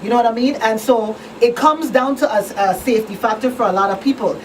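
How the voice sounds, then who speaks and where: A woman's voice: a little high, fast talker. A woman talking conversationally in a panel discussion, explaining her points and often checking that listeners follow. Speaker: talk show co-host and victim advocate. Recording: distant mic, faint background noise.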